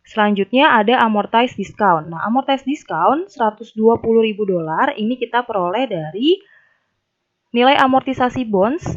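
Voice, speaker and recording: A woman's voice: on the high side, animated, moderate pace. A young adult woman giving methodical, step-by-step instructional narration as a tutor. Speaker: accounting tutor. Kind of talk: instructional narration of a worked problem. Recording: dull, lo-fi voice recording.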